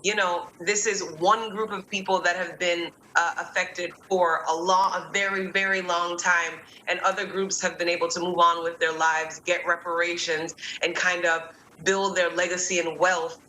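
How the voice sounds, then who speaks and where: A woman's voice: on the low side, level tone, normal speed, hardly any pauses, sharp tone. A woman raised in Jamaica, talking casually and chattily with lots of fillers and restarts. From born in New York, raised in Kingston, Jamaica. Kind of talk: casual conversational discussion. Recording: echoey room with faint ambient noise.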